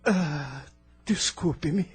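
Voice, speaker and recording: Man acting anguished young emigrant spirit, slow and husky. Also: a little high, exaggerated voice. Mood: uneasy, regretful. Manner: dramatic, emotional, slow delivery. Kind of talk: audio drama acting. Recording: music behind the voice.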